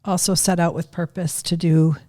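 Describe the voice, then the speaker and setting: A woman in her fifties, a grandmother, recalling raising her children in a thoughtful, unhurried conversation. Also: fairly low pitch, level tone, mellow, no room sound, studio quality. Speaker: guest. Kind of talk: casual interview chat.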